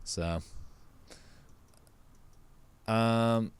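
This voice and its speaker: Deep-voiced, slow-talking man. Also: slight background noise. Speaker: podcast co-host. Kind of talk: casual chat.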